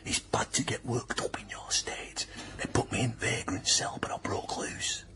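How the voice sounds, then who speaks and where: An actor playing an earnest, defiant young working-class man with a Northern English accent in a period radio drama, often dropping into breathy whispers. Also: normal speed, close to an everyday voice. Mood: anxious, hushed.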